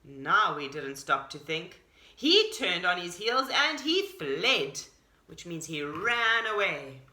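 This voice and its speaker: A woman teacher reading a children's story expressively, pausing to explain things to young listeners. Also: fairly low pitch. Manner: animated, explanatory. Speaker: pre-primary teacher, storyteller. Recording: roomy echo.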